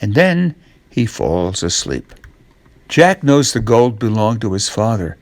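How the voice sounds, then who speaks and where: A man narrating a scripted children's story, reading aloud in a measured, expressive way. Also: lowish voice, no room sound.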